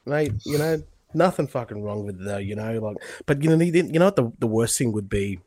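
An Australian man in his twenties, co-hosting a podcast with mates, his voice a bit rough from being under the weather, telling stories with lots of swearing. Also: medium pitch, lively intonation, fairly quick, very noisy. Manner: sweary, rambling storyteller. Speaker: podcast co-host. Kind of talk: casual chat among mates.

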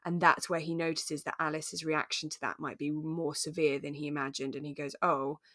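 A woman co-hosting a relaxed discussion podcast. Her voice sits a little low and she talks conversationally, thinking things through aloud. The sound is dry, with no room on it. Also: fairly quick, no room sound. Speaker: podcast co-host. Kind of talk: relaxed chat discussing a radio drama.

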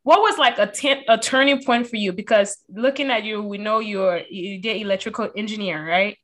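A woman's voice: mid-range voice, brisk, fairly bright tone. A woman interviewing a guest in casual chat, chiming in encouragingly.

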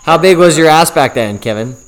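A man's voice: fairly high pitch, lively intonation, fairly quick, crowd noise, poor audio. A young man with an American accent, bantering casually as a podcast co-host, with a little noise in the recording.

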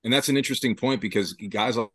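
A man's voice: lowish voice, quick pace, a bit bright, no echo, studio quality. A man talking in an earnest, emphatic way during a casual podcast discussion.

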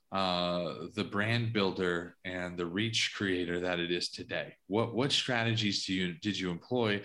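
A man with a somewhat low voice hosts a podcast interview. He asks long, winding questions and heaps generous praise on his guests. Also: fairly bright tone. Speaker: podcast host. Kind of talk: interview hosting.

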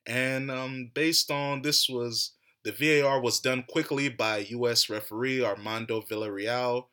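A young man with an American accent delivers a heated solo soccer rant as a podcast host. His voice is bright and forceful, and his tone turns mocking. He speaks at a middling pitch. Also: steady tone, a little slow, clear, resonant tone. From United States. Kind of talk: animated solo soccer commentary.

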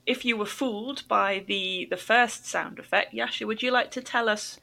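A woman's voice: fairly high pitch, fairly even intonation, moderate pace, creaky, sharp tone. A woman hosting an English-learning podcast, chatting with a guest in an encouraging, conversational way. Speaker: podcast host and English teacher.

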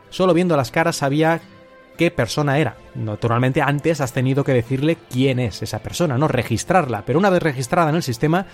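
A man from Spain with a Castilian Spanish accent, hosting a solo podcast and telling stories in a conversational way. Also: on the high side. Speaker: podcast host. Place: at home.

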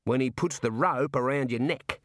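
A man's voice: mid-range voice, moderate pace, no room sound, clean studio sound, close to an everyday voice. A man voicing a cheerful, chatty prison warder with an Australian accent and a breezy, matey manner, in a storybook reading. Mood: jovial. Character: cheerful chatty male prison warder, jovially morbid, folksy.

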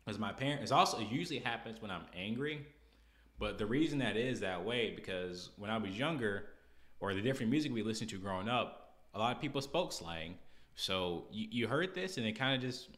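Bright-voiced American man, blunt and slangy. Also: fairly low pitch. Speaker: podcast host. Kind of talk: casual opinion commentary.

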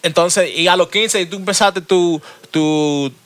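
A man's voice: high-pitched, slow pace, a bit bright, dry recording. A man hosting a podcast, chatting casually and switching between Spanish and English slang. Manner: laid-back, mixes Spanish and English slang. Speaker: podcast host. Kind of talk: casual bilingual interview chat.

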